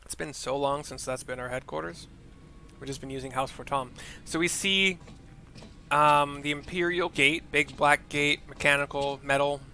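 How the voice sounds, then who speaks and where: Bright-voiced man doing game commentary. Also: a little high, steady tone, moderate pace. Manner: chatty, loose, describes the scenes aloud. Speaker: game streamer, narrator. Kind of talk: casual let's-play commentary.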